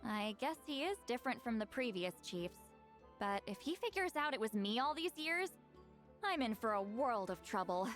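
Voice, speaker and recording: A woman's voice: fairly high pitch, big pitch swings, moderate pace, fairly bright tone. A woman playing a young, teasing shaman in a video game, breezy in manner, with music behind her. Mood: casual.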